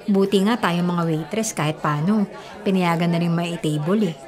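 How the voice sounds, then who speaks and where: A woman's voice: on the low side, normal speed, natural voice. A woman acting a chatty, down-to-earth, encouraging bar waitress in a Filipino radio drama. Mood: reassuring, chatty. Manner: chatty, coaxing. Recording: music and crowd chatter behind.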